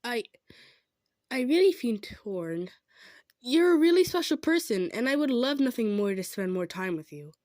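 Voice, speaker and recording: A woman playing a kind, modest female centaur in an improvised roleplay, speaking gently and earnestly with some stammering. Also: a little high, big pitch swings, normal speed, no echo, clean studio sound. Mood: torn, apologetic. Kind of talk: improvised roleplay audio drama, addressed to listener.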